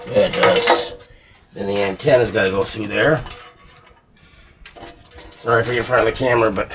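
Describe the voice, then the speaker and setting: A man's voice: on the low side, fairly even intonation, moderate pace. Man muttering through a noisy workbench job. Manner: muttering to himself, thinking aloud. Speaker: hobbyist radio repairer. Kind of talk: casual rambling workbench commentary. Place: indoor workshop. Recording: clear background noise, clicks of handling.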